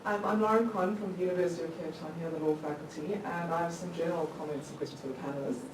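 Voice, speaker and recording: A woman's voice: fairly low pitch, fairly even intonation, quick pace. A woman in her thirties, a law lecturer, asking the panel a long question from the floor, chatty, with many restarts and little laughs at herself. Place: conference hall. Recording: echoey hall, distant mic, faint noise.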